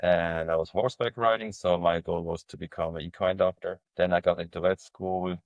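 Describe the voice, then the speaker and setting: A man from Switzerland recounts his path in a plain, matter-of-fact way. His voice is very low, and the recording sounds dry and close. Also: fairly even intonation, fairly quick, no room sound, highs cut off. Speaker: guest, veterinary dermatologist. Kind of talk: casual interview conversation.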